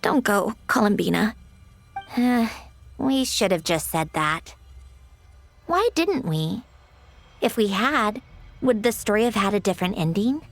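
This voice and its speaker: An actress playing a weary, sardonic female inventor in a video game, speaking slowly with a dry, sighing delivery. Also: mid-range voice, expressive. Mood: wistful. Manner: dry, sighing, slow and reflective. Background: soft game music.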